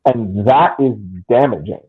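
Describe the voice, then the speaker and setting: A man with a husky, slightly rough voice talks through a soccer match on a podcast. He builds long, argumentative points. The sound is thin and phone-like. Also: mid-range voice, a little slow. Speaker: podcast co-host. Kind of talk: casual soccer analysis chat.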